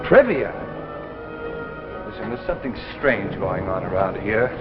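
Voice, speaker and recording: An actor playing an anxious, weak-willed husband, hesitant and earnest, in old radio drama style. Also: male voice, on the low side, big pitch swings, a little slow, music in the background. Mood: alarmed. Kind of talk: 1950s radio drama acting. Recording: dull old radio recording.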